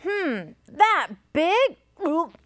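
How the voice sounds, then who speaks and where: A woman's voice: high-pitched, huge pitch swings, very slow, no echo, clean studio sound, voice pushed up, full character voice. A woman voicing a big but timid male elephant in a storybook, hesitant and questioning. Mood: uneasy.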